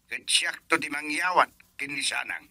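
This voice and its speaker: Stern grandfather, older, Filipino accent. Slightly high pitch, scolding radio-drama delivery, very dry studio sound. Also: moderate pace, gravelly, sharp tone, dry recording, full character voice. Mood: stern, grumbling. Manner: blunt, scolding. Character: stern older grandfather scolding about his son-in-law. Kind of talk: Ilocano radio drama acting.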